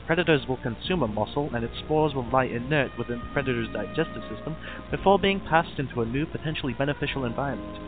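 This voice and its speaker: A man reading scripted mock-documentary narration in a formal, measured, clinical manner. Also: mid-range voice. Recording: band-limited lo-fi audio with background music. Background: music.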